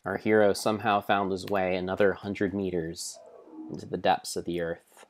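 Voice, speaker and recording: A man reading mock-dramatic storybook narration of a game, in a theatrical, solemn way with deliberate pauses. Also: low-pitched, little pitch movement, soft background music. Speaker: live streamer narrating a game.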